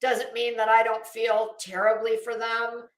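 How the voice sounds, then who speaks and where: A woman with a high voice delivers scornful, opinionated livestream commentary. She takes her time, leaning on words and repeating them for emphasis. The sound is roomy, with a noticeable echo. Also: monotone. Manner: blunt, scornful, emphatic, with deliberate repetition. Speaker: livestream host.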